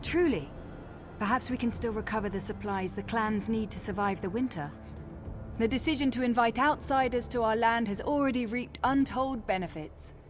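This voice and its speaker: A woman performs an orc high priestess for a fantasy game. Her voice sits a little high, formal and stately yet welcoming and earnest, with clear music underneath. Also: moderate pace, close to an everyday voice. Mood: earnest, concerned. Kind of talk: video game character acting.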